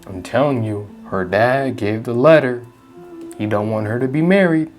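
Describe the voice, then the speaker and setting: A woman's voice: very deep voice, normal speed, darkish tone, soft background music. A woman giving casual, blunt, playful commentary while playing a video game. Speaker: gameplay commentator.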